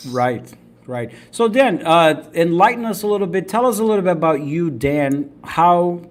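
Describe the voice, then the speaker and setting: A man's voice: fairly high pitch, animated, normal speed. A man hosting a podcast, introducing his guest and asking questions in an upbeat, enthusiastic, conversational way. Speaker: podcast host. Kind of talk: casual interview conversation.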